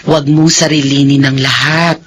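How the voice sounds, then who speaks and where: A woman's voice: very deep voice, steady tone, normal speed, a little hiss or hum. An actress with a Filipino accent playing a caring, firm college dean in a radio drama, motherly and emphatic. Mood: sympathetic.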